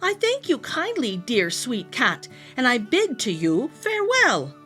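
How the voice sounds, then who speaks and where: A woman's voice: sits high, wildly swooping pitch, a little slow, pushed and bright, faint music behind, full character voice. A woman voicing a tiny, grateful leprechaun man in a storybook, with courtly, exclaiming rhymes. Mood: delighted, grateful. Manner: exclaiming, courtly rhyming speech. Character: weary, grateful little old-world leprechaun man with beard.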